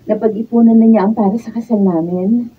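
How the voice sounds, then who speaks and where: A distant, slightly dull recording of a breezy, vain young Filipino woman. Her voice is husky and on the high side, in a colloquial radio drama style. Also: fairly even intonation, fairly quick. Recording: dull, distant room pickup.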